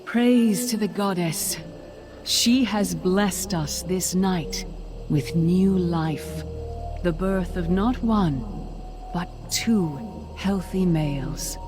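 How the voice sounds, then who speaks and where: A lowish, serene elven priestess from a fantasy game. She speaks very slowly, with solemn reverence, and music plays clearly behind her. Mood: reverent. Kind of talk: dramatic game cinematic acting.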